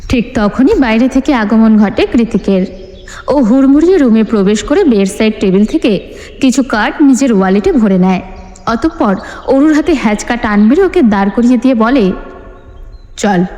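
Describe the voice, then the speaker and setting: A woman's voice: a little high, expressive, normal speed, mellow. A woman narrating a scripted dramatic story as an audiobook reader. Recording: long hall reverb with music bed. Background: music.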